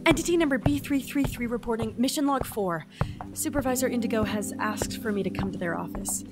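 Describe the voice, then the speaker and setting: A woman's voice: a little high, brisk, natural voice. A woman playing an eager, flippant but anxious interdimensional agent in an audio drama, chatty, hesitant and self-deprecating. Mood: breezy, uneasy. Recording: musical backing. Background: music.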